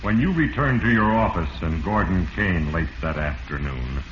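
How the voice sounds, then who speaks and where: The sinister male voice of Fate in a vintage radio mystery. He is deep and echoing, speaking with grave, portentous judgment straight to the guilty. The recording is worn and noisy. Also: lively intonation, normal speed, muffled recording. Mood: grave accusing. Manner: grave, portentous, addresses the culprit directly. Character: stern, sinister voice of Fate, all-knowing accuser. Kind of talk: 1940s radio narration.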